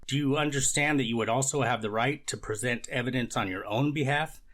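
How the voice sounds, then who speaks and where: A man's voice: medium pitch, fairly quick, a bit bright, close to an everyday voice. A man playing a formal, methodical court official in a scripted readers theater courtroom drama. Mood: procedural, authoritative. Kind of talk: amateur readers theater, scripted legal reading.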